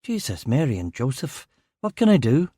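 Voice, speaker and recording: A man's voice: medium pitch, huge pitch swings, normal speed, mellow, slightly rough, dry recording, clean studio sound. A man voicing a rattled police detective in an audiobook, defensive and deferential as he answers his superiors. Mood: alarmed. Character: male detective narrator speaking, rattled, sweary, deferential to superiors. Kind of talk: audiobook character dialogue.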